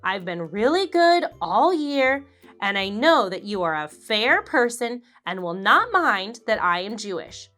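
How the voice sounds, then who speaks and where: A woman's voice: mid-range voice, very animated, a little slow, sharp tone, soft background music. A woman voicing a hopeful, earnest young girl in a storybook reading. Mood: pleading.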